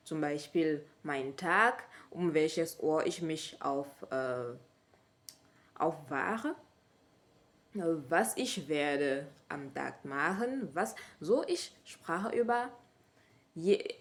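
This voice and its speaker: Slow, hesitant French-accented woman. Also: low voice. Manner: hesitant, often pausing and correcting herself. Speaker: language learner sharing her method. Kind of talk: casual vlog talk to camera.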